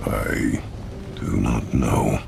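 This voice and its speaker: An actor playing a stern, brooding warrior god and father in a video game, terse and commanding with a gravelly voice. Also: steady tone, extremely slow, background noise, full character voice. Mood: grim. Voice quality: deep, gravelly.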